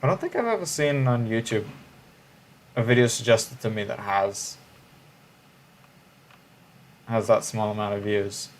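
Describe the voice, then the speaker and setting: A man's voice: lowish voice, normal speed. Man, twenties, casual livestream talk, musing, restarts often. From Israel. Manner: musing, hesitant, with frequent restarts.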